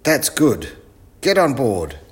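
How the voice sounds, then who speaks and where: A man voicing a muddled, excitable, hard-of-hearing old professor for a children's storybook, loud and exclamatory. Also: medium pitch, slow pace, a little hiss or hum, voice pushed up. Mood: eager, muddled. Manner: loud, exclamatory, mishears and blunders on cheerfully.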